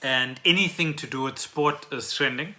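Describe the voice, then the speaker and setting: A man's voice: mid-range voice, normal speed, bright, forceful tone. A South African man co-hosting a podcast, explaining trending news in an informal, chatty way. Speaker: podcast co-host. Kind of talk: casual chat about trending news.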